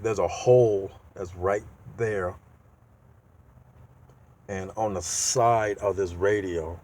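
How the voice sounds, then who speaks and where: A man with a low voice walks the viewer through a do-it-yourself job. His delivery is slow and halting, with pauses as he searches for the next word. The tone is that of a casual, informal tutorial. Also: darkish tone. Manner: halting, pauses mid-sentence to find words. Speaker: DIY car video host. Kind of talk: casual how-to walkthrough. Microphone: handheld tablet.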